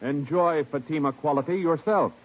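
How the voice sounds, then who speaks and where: A man's voice: on the high side, moderate pace. Formal american radio announcer man from the 50s, polished, phone-thin old broadcast sound. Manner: polished, declarative. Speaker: show and commercial announcer. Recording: tinny vintage radio broadcast.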